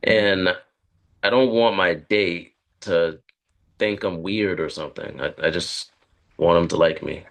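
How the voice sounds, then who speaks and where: An actor reading a script as an anxious, lonely, career-driven man who confides haltingly to a therapist. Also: deep voice, creaky. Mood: nervous. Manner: hesitant, halting, confessional. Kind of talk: dramatic script read.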